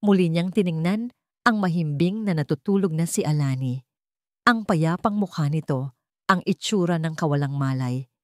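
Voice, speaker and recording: A woman with a Filipino accent narrating a scripted dramatic story in measured, short phrases. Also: low-pitched, darkish tone, no room sound, clean studio sound.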